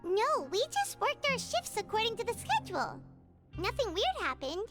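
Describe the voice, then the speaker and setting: A woman voicing a tiny cheerful childlike fairy companion in a video game, squeaky and eager, with music behind. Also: wildly swooping pitch, normal speed, exaggerated voice. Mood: chipper. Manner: perky, eager, refers to self in third person.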